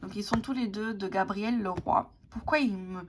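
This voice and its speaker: A woman whose first language is Italian, casually showing tarot and oracle decks, with many pauses and restarts. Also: medium pitch. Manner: hesitant, many fillers and restarts. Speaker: tarot and oracle deck reviewer. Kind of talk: casual show-and-tell vlog. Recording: clear background noise.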